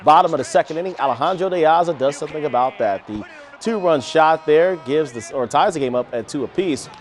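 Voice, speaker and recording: A man reading a scripted sports newscast with highlight commentary. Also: expressive, fairly quick, faint music behind.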